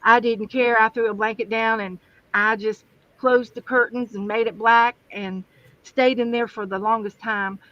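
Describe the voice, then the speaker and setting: A chatty woman with a West Virginian accent, rambling through her stories as a livestream guest. Her voice sits a bit high. The sound is dry and dull, with no room echo and a flat top end. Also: moderate pace. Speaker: guest crafter and streamer. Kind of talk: casual livestream interview chat. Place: home craft room. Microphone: livestream camera setup.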